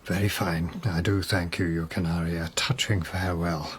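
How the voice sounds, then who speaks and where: A man's voice: low-pitched, level tone, normal speed, slight background noise, natural voice. An actor in a radio drama playing a mad, doomed nobleman in a rambling, wry, reflective monologue. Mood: wry, resigned. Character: mad, doomed nobleman musing on his death.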